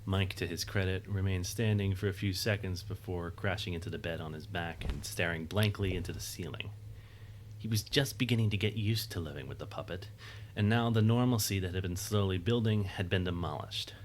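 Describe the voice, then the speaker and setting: A man's voice: low-pitched, brisk, poor audio. A man narrating a scripted audio drama as a third-person storyteller. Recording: faint background noise.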